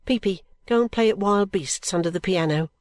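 A woman voicing a sulky, overworked young woman who complains abruptly and breaks into pitched outbursts. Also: a little fast, darkish tone, dry recording, studio quality, natural voice. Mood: aggrieved.

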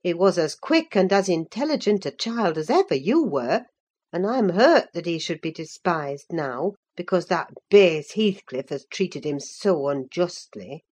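Woman voicing an earnest, low, scolding motherly servant. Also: animated, a little mellow, slightly rough. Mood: reproving. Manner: admonishing, measured. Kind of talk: dramatised classic novel reading.

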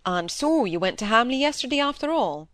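A woman voicing a gruff, exasperated middle-aged surgeon father in an audiobook, terse and dryly wry. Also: fairly high pitch, big pitch swings, fairly quick, a bit bright, no echo, clean studio sound, voice pushed up. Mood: wry, probing.

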